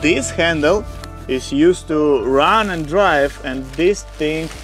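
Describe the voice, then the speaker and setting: Young guy w slavic accent, slow excited vlog talk, kinda high voice, music under it. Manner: excitable, narrates each find out loud, non-native grammar. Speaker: adventure vlogger. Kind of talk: exploring vlog narration. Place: inside a snow-filled boat cabin, outdoors in winter.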